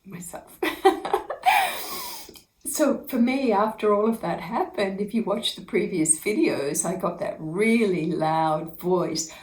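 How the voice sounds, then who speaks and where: A woman, a spiritual teacher, telling inspirational stories about her own life in an unhurried, earnest way, often breaking into laughter. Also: medium pitch, darkish tone, roomy sound. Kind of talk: inspirational personal storytelling to camera.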